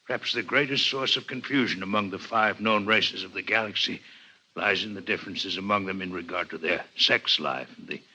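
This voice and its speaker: An actor playing a courteous, learned alien doctor with formal, precise phrasing in a 1950s radio drama. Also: male voice, low-pitched, a little fast, pushed and bright, breathy edge, heavily acted. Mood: formal, polite. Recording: muffled 1950s radio recording.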